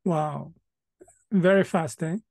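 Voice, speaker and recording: High, slow, gravelly older male host. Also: dry recording. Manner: steady stream of soft backchannels. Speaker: host, interviewer. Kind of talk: casual video-call interview. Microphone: video call.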